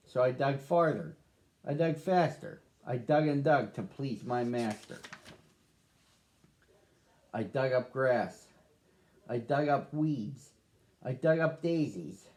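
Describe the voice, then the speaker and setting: Slow, rhythmic male storybook reader. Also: mid-range voice, darkish tone. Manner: slow, rhythmic, sing-song rhyming delivery. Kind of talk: reading a rhyming picture book aloud to children.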